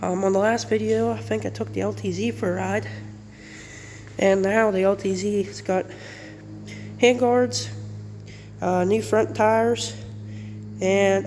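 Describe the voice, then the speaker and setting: A man's voice: sits high, steady tone, takes it slowly, long pauses, a little mellow, soft background music. A congested man with a cold hosts a casual, rambling car walkaround and often corrects himself. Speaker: channel host. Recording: long reverb, clear background noise.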